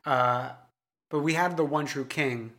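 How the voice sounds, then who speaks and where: A man with a somewhat high-pitched voice trades wry jokes in a casual podcast recap. He backs up his co-host with quick "yeah"s and keeps his delivery light and teasing. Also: fairly even intonation, fairly bright tone. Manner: wry, joking asides, quick agreeing "yeah"s. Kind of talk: casual reality TV recap chat.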